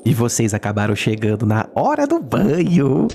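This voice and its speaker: An actor playing a sadistic, mockingly playful killer clown in a Brazilian audio horror drama, taunting in a falsely gentle sing-song way and repeating his words. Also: medium pitch, wildly swooping pitch, normal speed, a little hiss or hum. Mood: mock-sweet menace.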